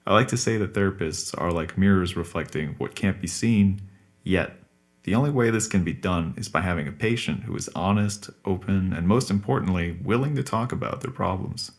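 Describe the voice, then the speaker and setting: Formal, patient therapist for podcast drama, played by a man: low register, measured and explanatory. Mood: explanatory, reassuring. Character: calm, courteous male psychotherapist, patient and formal. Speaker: actor in a scripted podcast drama. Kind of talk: naturalistic audio drama acting.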